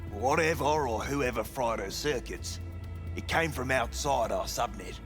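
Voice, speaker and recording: A man's voice: mid-range voice, a little slow, a bit bright, soft background music, lightly acted. An actor playing a sleazy, cynical male brothel manager in a cyberpunk video game, talking in crude, dismissive slang. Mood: matter-of-fact, cynical. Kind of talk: video game dialogue acting.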